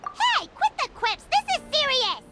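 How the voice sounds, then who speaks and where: A woman voicing a tiny, excitable, childlike fairy companion in a video game, squeaky and full of exclamations. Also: measured pace, full character voice. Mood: indignant. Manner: exclamatory, indignant. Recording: loud music around the voice.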